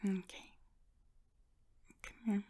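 A young woman playing an affectionate, playful friend in an ASMR roleplay, speaking softly and breathily, often in a whisper, with gentle reassurance. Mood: warm, affectionate. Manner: gentle, reassuring, giggly, halting.

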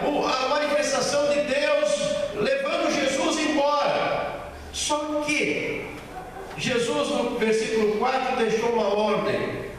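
Brazilian male pastor, high voice, slow emphatic delivery, recorded from far off in a big echoey church hall. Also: a bit bright, slight background noise. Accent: Brazilian Portuguese. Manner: slow, emphatic, repeats key phrases. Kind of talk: sermon. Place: large bare church hall with a small stage. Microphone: handheld mic, filmed by far camera.

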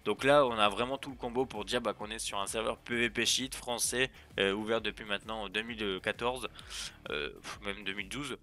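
A man's voice: lowish voice, steady tone, moderate pace, sharp tone. A man casually presenting features of a game server over a screen recording, chatty and hesitant, full of fillers and restarts. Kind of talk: casual gameplay showcase narration.

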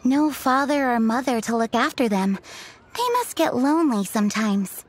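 A woman's voice: on the high side, animated, moderate pace. A woman playing a devout, proud, condescending city local in a video game, lecturing with assurance. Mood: smug, reverent. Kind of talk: video game character acting.

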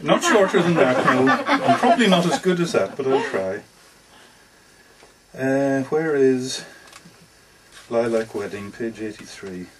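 A man's voice: mid-range voice, big pitch swings, unhurried, long pauses, noisy background. A man speaking as an author informally introducing his stories at a live reading, hesitant and self-deprecating. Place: bookshop book launch. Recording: distant mic at a live event.

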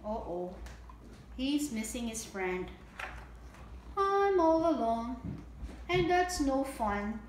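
Woman, middle-aged, high voice, children's story time host, simple expressive read-aloud, echoey room with some background noise. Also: slow pace, pauses often. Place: indoor home room with table.

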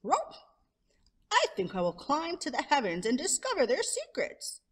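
A woman's voice: high-pitched, huge pitch swings, normal speed, pitched above the performer's own voice. A woman voicing a clever, proud, friendly male coyote, declaiming in a children's storybook read-aloud. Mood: excited.

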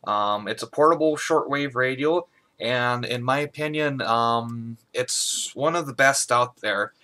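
A man's voice: mid-range voice, measured pace, sharp tone. A young man chatting casually and a little hesitantly as he gives an informal hobbyist review, recorded in a small room. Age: young adult. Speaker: hobbyist video host. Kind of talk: casual product review chat. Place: small bedroom indoors.

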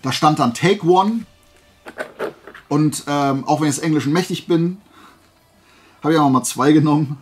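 A man's voice: on the high side, moderate pace, pauses often, darkish tone, soft background music. A man chatting casually to viewers like a vlogger, in a rambling, digressive and self-deprecating way. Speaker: YouTube vlogger showing flea market and fair finds.

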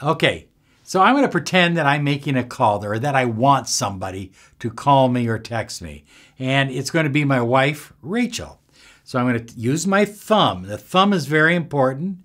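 A man in his sixties giving instructional self-help talk to camera, deliberate and emphatic, pausing between short phrases. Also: mid-range voice, expressive, a bit bright. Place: small indoor room with bookshelves.

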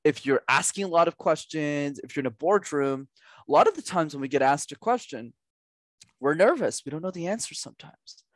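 A young man, a podcast guest and communication coach, giving energetic coaching advice with lots of emphasis and repetition over a headset mic at home. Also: a little high, fairly quick, no echo, clean studio sound. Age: young adult. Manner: animated, gestures a lot, drives points home with repetition. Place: small room at home.

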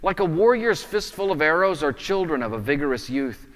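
A man's voice: on the high side, sing-song, brisk, in a large hall. A man in his thirties preaching a sermon in a conversational way, explaining with gestures, recorded on a lapel mic indoors. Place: indoors in front of a wooden plank wall.

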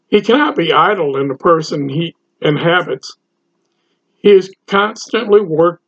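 An older man preaches a sermon to his webcam from a study at home. He speaks slowly and deliberately in a husky, rather high voice. The audio is dull and lo-fi. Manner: slow, deliberate preaching to camera. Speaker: pastor. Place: home study with bookshelves, indoors. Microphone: webcam, seated at computer.

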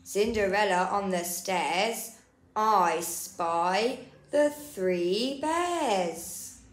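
Woman, nursery storyteller, very slow sing-song picture-book reading, recorded in an echoey room. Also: medium pitch, lively intonation. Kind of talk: picture-book read-aloud for young children. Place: indoor room. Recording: roomy indoor echo.

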